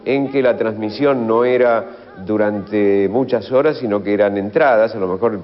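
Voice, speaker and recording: An Argentine man explaining as an interviewed expert, halting and often rephrasing himself. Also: lowish voice. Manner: halting, self-correcting. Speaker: radio history expert interviewee. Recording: dull, long reverberant room sound.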